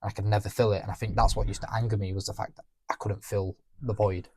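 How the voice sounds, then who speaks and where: Young male podcaster; low, monotone, dry-recorded, halting confessional talk. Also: slightly rough, no echo. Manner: halting, reflective, many restarts. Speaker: podcast co-host. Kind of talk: candid emotional conversation.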